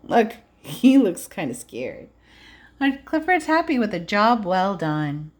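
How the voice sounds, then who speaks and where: A woman's voice: mid-range voice, sing-song, slow. A woman preschool teacher reading aloud to young children, adding simple explanations and checking in with questions. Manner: simple child-directed phrasing.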